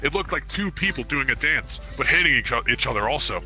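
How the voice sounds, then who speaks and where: A man's voice: mid-range voice, animated, fast, bright, forceful tone, poor audio. A man reading a comic story aloud as a dramatic narrator, with a mock-serious manner. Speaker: amateur reader of comic fan fiction. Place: online virtual reality hangout. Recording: music playing behind the voice.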